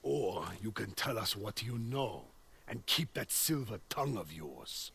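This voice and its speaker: A sneering bounty hunter in a video game. His voice is sharp and creaky, swinging in pitch as he drawls out mocking threats. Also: big pitch swings, measured pace, heavily acted. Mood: menacing, mocking. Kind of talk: video game dramatic acting.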